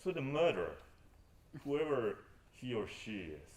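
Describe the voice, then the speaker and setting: A man's voice: mid-range voice, sing-song, unhurried. A young man acting a wry, suspicious adventurer with a sardonic, questioning manner in a student stage play. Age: young adult.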